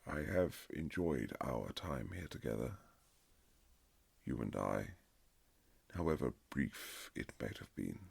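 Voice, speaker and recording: A man playing a reserved aristocratic husband in an intimate romantic period audio drama, speaking formally and haltingly with earnest tenderness in a gravelly, husky voice. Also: extremely low pitch, sing-song, a little mellow, exaggerated voice. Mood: hesitant, resigned. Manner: formal, old-fashioned, halting, earnest phrasing.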